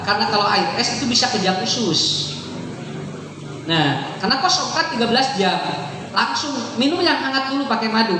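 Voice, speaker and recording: A man with a Sundanese accent giving a chatty, joking sermon to a congregation, often laughing as he talks. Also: extremely high pitch. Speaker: Islamic preacher. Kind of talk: lively humorous sermon to a congregation. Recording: echoey hall, far from the mic, noisy.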